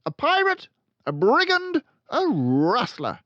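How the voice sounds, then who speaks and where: A man's voice: wildly swooping pitch, takes it slowly, no room sound, dull audio, full character voice. A man voicing a tyrannical, bellowing headmistress in a storybook reading, barking out orders and insults. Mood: furious. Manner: barking, booming, screaming insults. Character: tyrannical, bellowing female headmistress, brutish bully.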